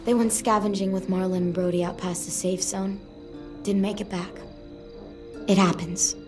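A woman's voice: medium pitch, steady tone, normal speed, music in the background, close to an everyday voice. An actress playing a wistful, awkward but tough teenage girl survivor in a video game, with a hesitant, slangy, casual delivery. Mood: resigned, wry. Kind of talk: video game drama dialogue.

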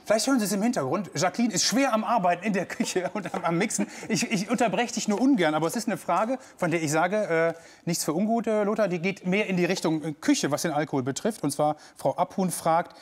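A male host in his forties with a slightly high voice moderates a studio talk show, friendly and a little halting as he reads viewer questions. He is recorded close on a lapel mic. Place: TV studio set.

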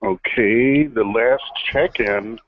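A man's voice: medium pitch, slow pace. A male employee on a work phone call, speaking politely and hesitantly over a tinny line, sounding unsure of himself. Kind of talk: workplace phone conversation.

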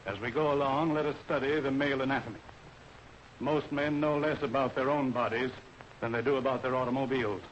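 A man's voice: medium pitch, fairly even intonation. A man with an American accent lecturing in a formal, authoritative way as an army medical officer in a 1940s training film. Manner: formal, authoritative, deliberate instruction. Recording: dull, noisy 1940s film soundtrack.

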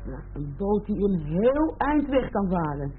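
An actress in a Dutch radio play as a weary, unimpressed woman, curt and deadpan, on a muffled old recording. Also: medium pitch, unhurried, a little hiss or hum. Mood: weary, dry. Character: weary, dry, unimpressed female partner.

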